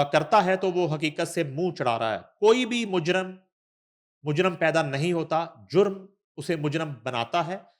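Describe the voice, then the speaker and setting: A man with a Pakistani accent hosting a news talk show, reading an article aloud and adding conversational asides in an emphatic, deliberate manner. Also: on the high side.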